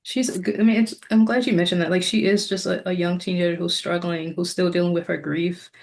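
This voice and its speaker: A woman's voice: lowish voice, fairly even intonation, brisk, gravelly, a little mellow. A woman co-hosting a podcast in a casual, chatty style. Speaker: podcast co-host. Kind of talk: casual chat.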